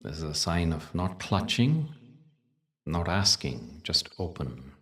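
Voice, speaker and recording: A man leading a guided meditation in a soothing, measured way, leaving long pauses between short phrases. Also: deep voice, big pitch swings, a bit bright, far from the mic. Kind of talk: guided meditation with spoken teaching.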